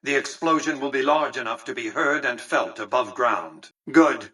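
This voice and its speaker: An actor playing an imperious, calculating elderly tycoon in a video game, speaking formally with precise, condescending diction. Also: male voice, medium pitch, moderate pace, bright, forceful tone, breathy edge, recorded from a distance, poor audio. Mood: lofty, businesslike. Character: imperious elderly male tycoon ruling a casino city, coldly calculating. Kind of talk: scripted game dialogue.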